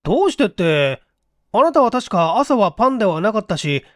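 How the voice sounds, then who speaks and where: Guy doing a polite puzzled apartment manager in an old mystery play, very animated pitch, dry sound. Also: a little fast, dry recording. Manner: courteous, inquisitive, easily surprised. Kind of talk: audio drama reading of a vintage mystery.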